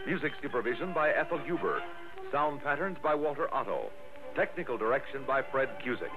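A man's voice: medium pitch, animated, brisk. An American man announcing in scripted 1960s network radio style. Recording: tinny old radio broadcast with music.

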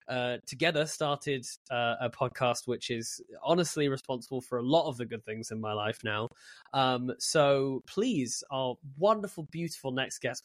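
A man's voice: mid-range voice, a bit bright. A man hosting a podcast in casual interview chat, with an effusive, enthusiastic manner. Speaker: podcast host.

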